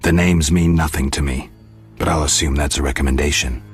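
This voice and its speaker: An actor playing a sardonic, terse monster hunter in a video game, with a dry, laconic delivery. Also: male voice, a bass voice, fairly even intonation, brisk, husky, full character voice. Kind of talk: video game character acting. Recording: loud music behind the voice.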